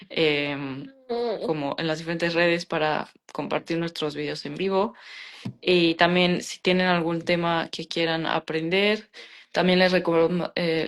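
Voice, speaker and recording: A woman with a somewhat low voice co-hosts a casual live chat. She talks conversationally, pausing on fillers and ending points with little tag questions. Her voice comes through a video call. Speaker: co-host of a live talk show.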